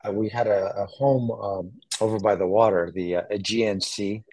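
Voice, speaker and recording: A man in his forties, born in Greece, speaks with a fairly low voice. He talks casually as an interview guest, stopping often on fillers and restarting sentences. The sound is close and dry. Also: steady tone, darkish tone, no room sound, rough recording. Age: 40s. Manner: halting, many fillers and restarts.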